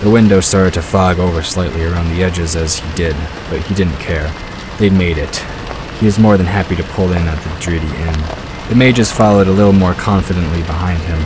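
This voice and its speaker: A man's voice: low-pitched, a little fast, background noise. A man reading scripted story narration as the narrator of an audio drama. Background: rain and vehicle sound effects.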